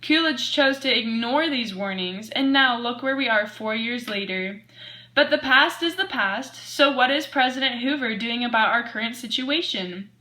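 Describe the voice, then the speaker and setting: Girl playing earnest 1920s radio newswoman, scripted, bright highish voice. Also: expressive, normal speed. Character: earnest female 1920s radio news anchor. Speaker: news anchor.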